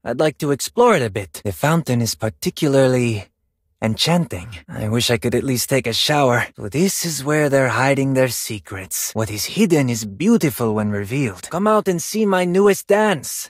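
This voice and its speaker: A man acting a husky, flamboyant Spanish dancer. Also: mid-range voice, expressive, normal speed, no echo, clean studio sound, close to an everyday voice. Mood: musing, elegant. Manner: theatrical, self-admiring, dramatic. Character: vain, flamboyant, graceful Spanish male ballet dancer. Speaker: video game voice actor. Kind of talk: video game character voice lines.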